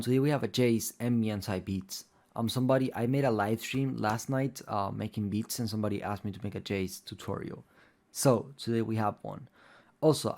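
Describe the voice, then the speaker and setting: Young guy, spanish is his first language, rambly producer tutorial, lots of likes and ums, mid pitch. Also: a little mellow. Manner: rambling, loose, many fillers like "like" and "um". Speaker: music producer. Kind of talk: casual tutorial talk.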